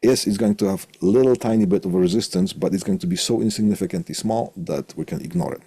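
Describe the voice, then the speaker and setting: Male instructor, deep voice, heavy vocal fry, informal technical lecture, deliberate delivery, repeated phrases, self-posed questions. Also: mellow, dry recording. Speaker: college electronics instructor.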